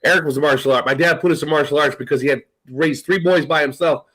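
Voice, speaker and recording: A middle-aged man hosting a casual podcast chat, talking in an animated, loose way and swearing freely. His voice sits a bit high for a man. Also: fast. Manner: animated, swears, laughs often. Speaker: podcast host.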